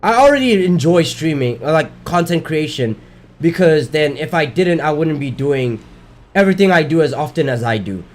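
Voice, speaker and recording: A man's voice: a little high, lively intonation, normal speed. Rambling streamer man, voice worn. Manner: rambling, self-correcting, swears casually. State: losing his voice. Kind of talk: casual chat while gaming.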